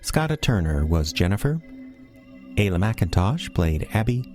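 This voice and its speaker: A man's voice: lowish voice, sing-song, measured pace, a little mellow, faint music behind. A man reading scripted closing credits as the announcer of a radio show.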